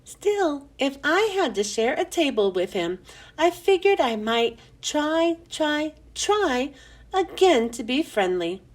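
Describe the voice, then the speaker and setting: A woman reading a children's book aloud with expressive, emphatic storytelling that stretches out key words. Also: high voice, slow pace. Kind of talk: expressive scripted storybook narration.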